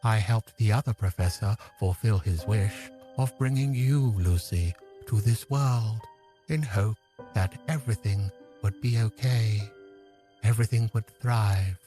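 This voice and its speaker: A man acts out an aged, lonely manipulator of time for an audio drama. His voice is lowish and very unhurried, weighed with solemn regret and soft-edged in tone. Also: faint music behind, lightly acted. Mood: solemn, regretful. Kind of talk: scripted audio drama acting.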